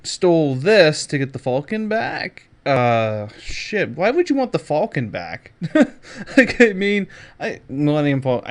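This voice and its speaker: A man hosting a casual pop culture news podcast, emphatic, sarcastic and given to swearing. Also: fairly high pitch. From Canada. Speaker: podcast host.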